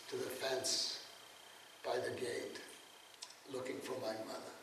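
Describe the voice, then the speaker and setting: A man in his eighties, from Czechoslovakia with German as his first language, giving a spoken testimony with halting speech full of fillers and restarts. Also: medium pitch, gravelly, fairly bright tone, a little hiss or hum. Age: 80s. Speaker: guest speaker, Holocaust survivor. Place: lecture room. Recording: very echoey room, mic far off.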